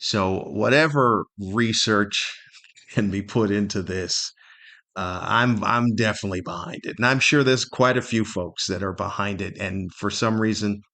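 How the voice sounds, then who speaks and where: A middle-aged man giving a candid, reflective solo podcast monologue in a conversational, thoughtful way, pausing to search for words and repeating himself. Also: lowish voice, sharp tone. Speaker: podcast host, stand-up comedian.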